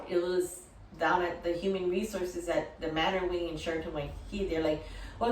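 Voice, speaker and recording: A middle-aged woman telling personal stories casually, rambling in an animated way and acting out remembered dialogue. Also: medium pitch, a little hiss or hum. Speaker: dog-business entrepreneur and course creator. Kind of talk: casual personal storytelling to camera. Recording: roomy echo, distant from mic.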